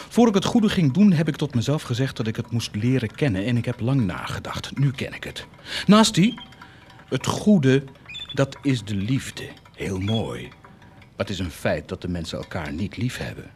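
A man's voice: medium pitch, a little hiss or hum, close to an everyday voice. A man playing a proud, wildly swinging zealous commander. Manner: declamatory, swinging from confiding to indignant. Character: proud, grandiose army commander turned zealous do-gooder. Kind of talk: theatrical radio drama acting.

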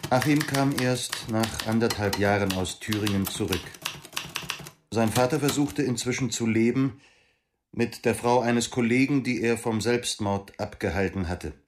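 An actor narrating a literary radio drama in a factual, measured and detached way. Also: male voice, lowish voice, background noise, lightly acted. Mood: sober, detached. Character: sober male narrator of a boy's postwar childhood.

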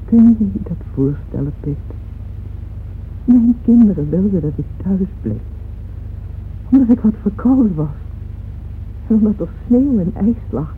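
Radio play, thin band-limited sound: yearning, devoted middle-aged mother, lowish voice, dramatic and lingering. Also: animated, measured pace, narrow, tinny audio, a little hiss or hum. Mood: rapturous, yearning. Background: music.

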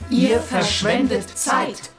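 An actress in a German radio play as an imperious doomsday manager, making demands and announcements. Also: female voice, on the high side, animated. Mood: demanding. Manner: brisk demands, slogan-like announcements. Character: imperious female apocalypse manager. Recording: loud music behind.

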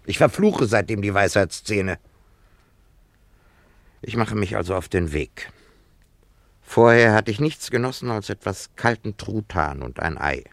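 A man's voice: on the low side, expressive, a little slow, vocal fry, pauses often, no room sound. A man playing an irritable, sardonic dentist in a German radio play, narrating theatrically in clipped, emphatic phrases. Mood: grumbling narration. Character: irritable, wine-loving male dentist in an ancient town.

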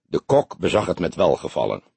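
Husky male audiobook narrator with lively intonation. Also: sits low, brisk, no echo. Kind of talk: scripted narration of a crime novel.

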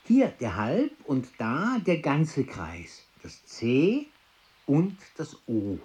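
A father, slow, patient, instructive, lively mid pitch, faint music. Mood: patient, teaching. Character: gruff father teaching his little son letters. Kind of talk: radio play acting.

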